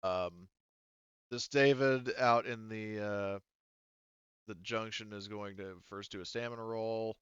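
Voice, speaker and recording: A man's voice: fairly low pitch, steady tone, slow pace, a bit bright, smooth, dull audio. A man speaking casually as a tabletop game master, thinking aloud hesitantly as he works through the rules.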